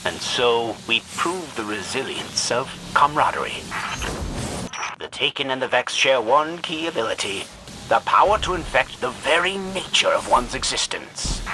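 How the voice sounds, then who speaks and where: Man acting a pompous, cantankerous, excitable alien scientist. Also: medium pitch, normal speed, noisy background, lightly acted. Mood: grave, bitter. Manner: pompous, indignant, theatrical. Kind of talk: dramatic video game voice acting.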